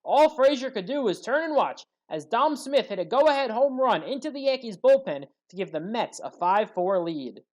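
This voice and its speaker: A man narrating scripted play-by-play sports highlights as a commentator, with dramatic emphasis. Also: big pitch swings, normal speed, hardly any pauses, poor audio.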